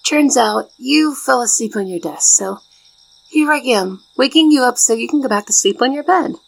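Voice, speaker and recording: A woman playing a shy, devoted young maid in a soft ASMR roleplay, speaking politely and formally with flustered hesitation in a soft, breathy voice. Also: on the high side, expressive, normal speed, no room sound, low-quality recording. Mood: gentle, polite.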